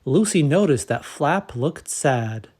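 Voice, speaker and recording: A man narrating a children's storybook as host, in a measured, expressive read-aloud manner. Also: fairly high pitch, breathy edge. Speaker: storybook reader and channel host.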